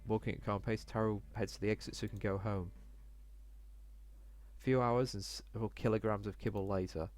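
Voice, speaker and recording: A man's voice: fairly low pitch, steady tone, brisk, darkish tone. A man reading a visual novel aloud as a let's player, stumbling a little over the text. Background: game music.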